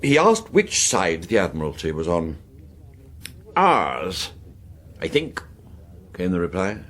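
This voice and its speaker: An actor playing an urbane older British intelligence agent and uncle, wry, avuncular and unflappable, speaking in a leisurely upper-class manner in a radio drama. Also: male voice, sits low, huge pitch swings, takes it slowly, fairly bright tone, exaggerated voice. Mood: droll, reassuring. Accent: British English.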